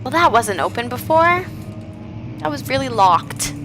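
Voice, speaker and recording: A young woman with a raspy voice from a cold, reading game notes aloud and reacting nervously as she plays a horror game on a headset mic. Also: sits high, very animated, unhurried. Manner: reads notes aloud, then jumpy reactive chatter. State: getting over a cold. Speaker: gaming streamer. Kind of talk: horror game let's play commentary. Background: game music.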